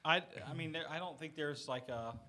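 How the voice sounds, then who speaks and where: A man's voice: on the high side, brisk, pushed and bright. A man chatting casually in a group, rambling and often backtracking mid-sentence.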